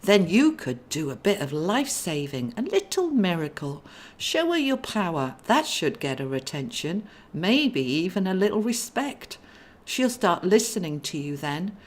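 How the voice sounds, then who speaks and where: A woman's voice: fairly low pitch, big pitch swings, a little slow. A woman voicing a kindly male angel in a storybook, a genteel, musing big brother with a plan. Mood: persuasive.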